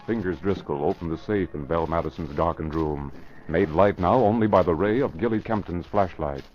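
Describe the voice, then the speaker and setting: A man's voice: deep voice, a little fast, faint music behind. A man narrating an old-time radio mystery in a dramatic scripted style. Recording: muffled vintage radio recording.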